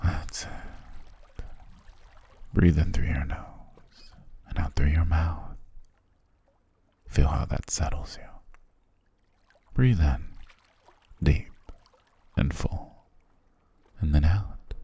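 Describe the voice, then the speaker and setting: A man playing a sly, alluring male siren in an ASMR roleplay, speaking softly and coaxingly in a husky, half-whispered voice. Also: very deep voice, full character voice. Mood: hypnotic, soothing. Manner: slow, soft, coaxing. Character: alluring male siren posing as grateful castaway, soothing, sly.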